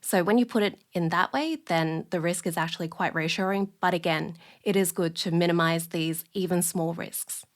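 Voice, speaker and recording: A woman speaking as an expert guest in an interview, explaining in a measured, matter-of-fact way. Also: fairly low pitch.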